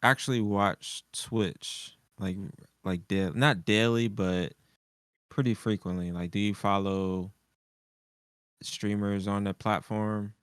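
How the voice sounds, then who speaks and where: A man's voice: lowish voice, steady tone, slow, clean tone, no echo, studio quality. A man co-hosting a casual chat, putting questions to the panel in an inquisitive way. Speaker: podcast co-host.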